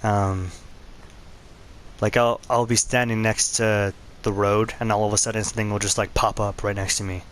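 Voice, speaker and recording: Hoarse, lowish male vlog host, relaxed and chatty. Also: moderate pace. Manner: laid-back, conversational. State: hoarse, losing his voice. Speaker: channel host. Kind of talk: casual gaming vlog.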